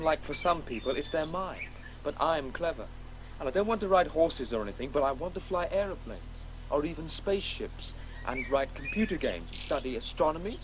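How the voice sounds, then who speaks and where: An actor playing a precocious schoolboy in a radio play, earnest, confident and articulate. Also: male voice, on the high side, noisy background. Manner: articulate, insistent, eager. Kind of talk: naturalistic radio play dialogue.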